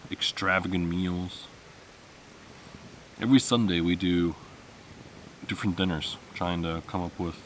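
Male outdoor vlogger, thirties, deep voice, casual rambling delivery, recorded a few metres from the mic. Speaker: camping vlogger. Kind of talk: casual vlog talk to camera. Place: outdoor wooded campsite. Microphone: camera a few metres away. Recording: distant mic with outdoor background noise.

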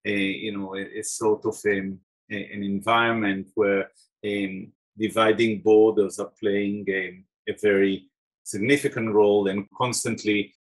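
A middle-aged man from Israel with an Israeli accent, an artist talking over a video call about his films, thoughtful, pausing with fillers. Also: lowish voice, level tone, low-quality recording. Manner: thoughtful, many restarts and fillers. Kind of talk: talk about his own work. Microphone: video call webcam.

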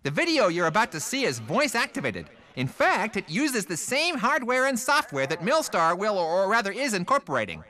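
A fawning corporate techie in a radio comedy. His bright, high voice leaps all over as he nervously sells to his superior. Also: big pitch swings, a little fast, few pauses, heavily acted. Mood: nervously upbeat. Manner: fawning, keeps saying sir. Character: eager, obsequious defense-contractor techie, nervously salesmanlike man. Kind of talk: satirical radio comedy acting.

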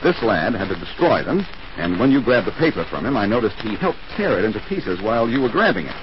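A man's voice: fairly low pitch, very animated, fast talker. An American man playing a confident, wry amateur detective in 1940s radio drama style. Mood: wry, satisfied. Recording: muffled, noisy 1940s radio recording.

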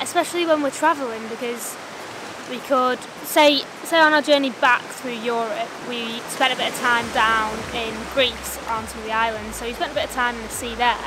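Chatty young woman by loud surf. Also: fairly high pitch. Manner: thoughtful, chatty, trailing restarts. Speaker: travel vlogger. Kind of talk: casual reflective vlog chat. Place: outdoors on a rocky seashore. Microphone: camera held at arm's length. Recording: loud surf and wind noise. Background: crashing waves.

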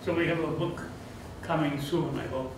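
An older man with a Tamil accent reflects on retirement in a relaxed, self-deprecating way. His voice sits slightly high. The recording is taken from a far camera in an echoey seminar room with steady background noise. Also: normal speed. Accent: Tamil-accented English. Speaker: retiring theology professor. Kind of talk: informal farewell lecture with Q&A. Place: seminar room, indoors, medium-sized.

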